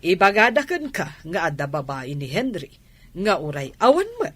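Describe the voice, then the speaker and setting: An actress in an Ilocano radio drama playing a gentle, trusting wife who stands up for a partner. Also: female voice, on the low side, sing-song, normal speed, slightly rough. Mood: defensive, hurt. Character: gentle, trusting wife defending her man.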